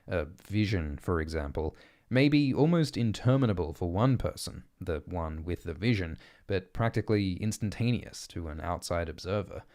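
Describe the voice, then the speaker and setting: A man with a low-pitched voice reads prepared prose aloud in the style of an audiobook. His delivery is deliberate and measured, with carefully articulated phrases. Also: darkish tone. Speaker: audiobook reader. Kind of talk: scripted reading of essays and letters.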